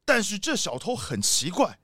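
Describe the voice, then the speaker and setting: A man's voice: high voice, wildly swooping pitch, moderate pace, sharp tone, no echo, studio quality, exaggerated voice. A man playing a rich, boastful, haughty leopard boss in a children's audio drama. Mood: puzzled, grumbling.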